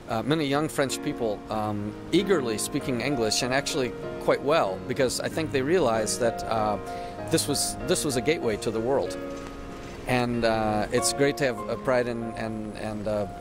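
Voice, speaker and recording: A man in casual conversation, talking in an enthusiastic, explanatory way and laughing easily. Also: mid-range voice. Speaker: conversation partner.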